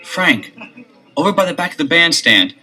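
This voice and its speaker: An actor playing a curious, easygoing young amateur detective in a 1970s record-album drama. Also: male voice, on the high side, sing-song, normal speed, sharp tone, heavily acted.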